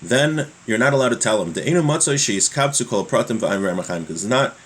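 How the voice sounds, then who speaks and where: A man giving a religious law lesson, reading from a text and explaining it in a halting way, repeating his points. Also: medium pitch, lively intonation, breathy edge. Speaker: religious teacher.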